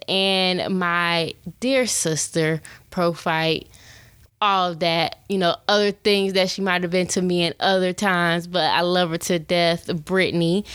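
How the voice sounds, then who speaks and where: A young woman in her twenties hosts her show in a chatty, animated way and keeps turning to her listeners as y'all. Her voice sits a little low and sounds close and dry, with no room around it. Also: clear, resonant tone, no room sound. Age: 20s. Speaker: podcast host. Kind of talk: casual chat.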